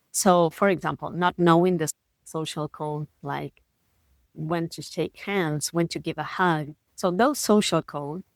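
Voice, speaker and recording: A woman's voice: fairly low pitch, no echo. A woman in her thirties from Argentina, a native Spanish speaker with an Argentine accent, reflecting thoughtfully and earnestly as a podcast host. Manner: thoughtful, earnest, with slow deliberate stresses. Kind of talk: reflective solo podcast talk.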